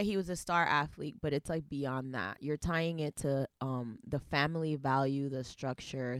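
A woman with a Newark, New Jersey accent, co-hosting a podcast and talking things through in a relaxed, reflective way. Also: sits low, dry recording, studio quality. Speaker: podcast co-host. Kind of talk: casual podcast chat.

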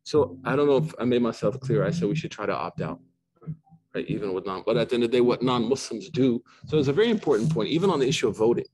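A man's voice: medium pitch, animated, fast talker, darkish tone, low-quality recording. A middle-aged man giving an informal lecture on Islamic rulings, talking conversationally with many restarts and asides. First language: not Arabic.

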